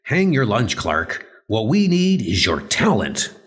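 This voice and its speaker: A man voicing a weary, conspiratorial middle-aged newspaperman, guarded and urgent in a hushed manner. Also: medium pitch, wildly swooping pitch, takes it slowly, noisy background. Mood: secretive, earnest.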